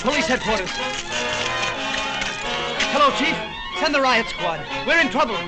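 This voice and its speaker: A man's voice: very high-pitched, expressive, normal speed, over loud music, poor audio. A man playing an urgent, commanding official in a 1940s cartoon, on a vintage soundtrack. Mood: alarmed. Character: alarmed, officious male authority giving urgent orders.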